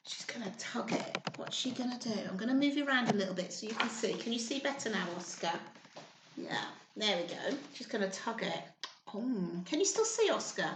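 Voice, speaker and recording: Animated high-voiced woman reading to children. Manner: encouraging, expressive, often asking the children questions. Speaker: storyteller at a childcare group. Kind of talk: animated read-aloud for small children. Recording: roomy echo, faint background noise.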